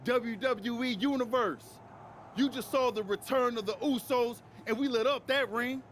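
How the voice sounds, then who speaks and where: A man's voice: very high voice, normal speed, a bit bright, a little hiss or hum. A man playing a defiant, loyal tag-team wrestler in a video game, talking in slangy, emphatic short phrases. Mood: rousing, defiant. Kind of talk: wrestling promo and video game cutscene acting.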